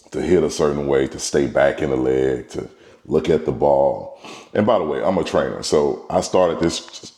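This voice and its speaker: A middle-aged man with a very low, mellow voice speaks with a stuffed-up nose from a cold. He rambles through a casual monologue as a show host, often repeating and restarting phrases. The room around him sounds echoey. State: congested from a cold. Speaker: baseball trainer and podcast host.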